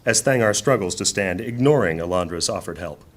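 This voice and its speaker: A man's voice: deep voice, expressive, a little fast, slight background noise. A man narrating a scripted fantasy audio drama in a solemn storyteller's manner. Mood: measured, solemn.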